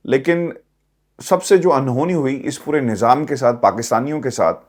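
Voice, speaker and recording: A man's voice: mid-range voice, normal speed, a little mellow. Emphatic Pakistani man giving political commentary. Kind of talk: news commentary addressed to viewers.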